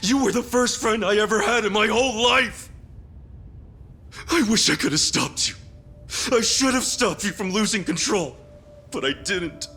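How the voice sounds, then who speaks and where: A man's voice: sits high, normal speed, long pauses. An actor playing a loyal yakuza man in a game drama, speaking earnestly and pleading with an old friend. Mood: pleading, grieving. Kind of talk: dramatic video game cutscene acting. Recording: loud music behind.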